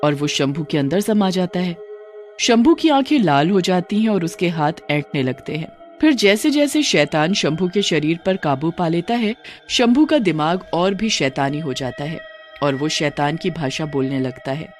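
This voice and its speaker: A narrator tells a scripted cartoon folk story. The pitch moves up and down in a lively way around a middle register. Music plays behind the voice. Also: normal speed. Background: background music.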